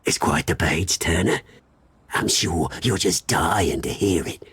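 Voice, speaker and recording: A man's voice: low-pitched, unhurried, a bit bright, husky, no echo, heavily acted. An actor playing a smug, scheming male crime boss, sneering and theatrical. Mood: taunting. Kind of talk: video game voice acting.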